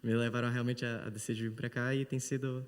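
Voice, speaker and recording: A man in his twenties with a Brazilian Portuguese accent speaks fluently and earnestly at a conference. He talks at a brisk clip. A long hall reverb trails his voice. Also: medium pitch, level tone, smooth. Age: 20s. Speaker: panel speaker. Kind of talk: conference testimonial.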